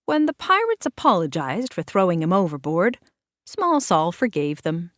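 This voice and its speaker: A woman reading a children's picture book aloud in an expressive, measured narration with deliberate dramatic pauses. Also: on the low side, no echo, studio quality. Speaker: children's storybook reader.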